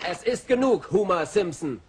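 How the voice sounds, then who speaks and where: A man voicing an excited cartoon press announcer, proclaiming headlines. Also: sits high, moderate pace, background noise. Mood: sensational.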